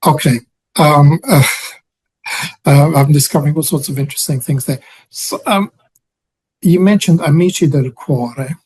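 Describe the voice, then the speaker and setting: A slightly higher, very husky male voice asking questions in a relaxed interview. He sounds curious and conversational, with hesitant fillers. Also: normal speed, pauses often. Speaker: interviewer host.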